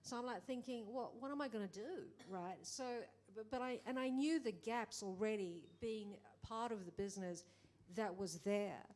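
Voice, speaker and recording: A middle-aged woman producer speaking in a conversation, animated, acting out quoted exchanges, with many restarts. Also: medium pitch, moderate pace. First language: English. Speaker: film producer. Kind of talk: panel conversation.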